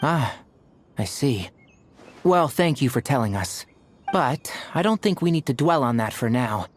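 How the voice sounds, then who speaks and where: A man voicing a gentle, dry-witted young healer who talks calmly and teases lightly. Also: mid-range voice, unhurried, a little hiss or hum. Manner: calm, lightly teasing. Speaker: doctor.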